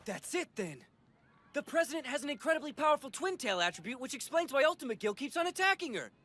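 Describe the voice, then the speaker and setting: An actor playing an excitable, earnest teenage hero in an English anime dub. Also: male voice, extremely high pitch, fast talker, full character voice. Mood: puzzled, excited.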